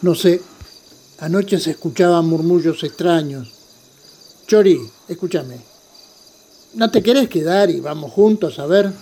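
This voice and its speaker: A man's voice: a little high, a little slow, pauses often, dark tone, slightly rough. A man playing an uneasy night guard in a radio play, hesitant and questioning, with a Rioplatense Argentine accent. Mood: uneasy, curious. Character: uneasy male night guard hearing strange murmurs. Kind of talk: community radio play acting.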